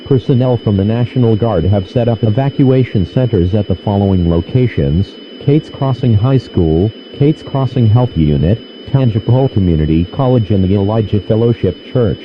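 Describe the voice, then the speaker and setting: A man's voice: on the low side, lively intonation, moderate pace, few pauses. A man's stiff, synthetic voice reading a formal scripted emergency announcement over a lo-fi, noisy radio broadcast. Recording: dull lo-fi radio with clear noise.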